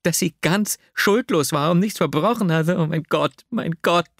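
Distraught young woman from a literary audiobook, played by a man: lifted pitch, impulsive, pleading, close dry recording. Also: normal speed, a bit bright, no room sound. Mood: tearful pleading. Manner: impulsive, emotional, exclamatory. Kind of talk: dramatic literary audiobook reading.

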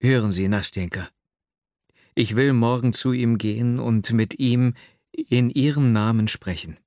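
A man's voice: mid-range voice, unhurried, darkish tone, dry recording, studio quality, close to an everyday voice. A man playing an earnest, lovesick young man in an audiobook, agitated, self-doubting and urgent. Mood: urgent, insistent. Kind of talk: dramatic literary audiobook reading.

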